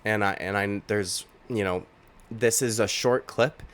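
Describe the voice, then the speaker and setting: A man with a slightly low voice delivers an opinionated reaction commentary on MMA, indignant and repeating himself as he rants. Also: a little slow, fairly bright tone. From Canada.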